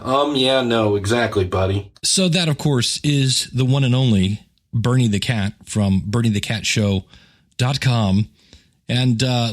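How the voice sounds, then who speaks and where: A man hosting a podcast as a coach, talking informally and directly to his listeners in a conversational monologue. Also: medium pitch.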